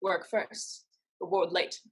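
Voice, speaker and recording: A woman's voice: on the low side, steady tone, takes it slowly, a bit bright. A woman playing a proud, determined young woman, firm and earnest in a theatrical staged reading. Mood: firm, bargaining. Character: proud, determined young woman pirate-in-training, devoted to family.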